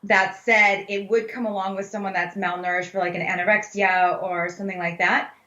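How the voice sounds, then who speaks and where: A woman's voice: mid-range voice, level tone, brisk, sharp tone. Candid woman, echoey and slightly dull sound. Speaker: plant-based dietitian, interview guest. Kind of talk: casual expert conversation.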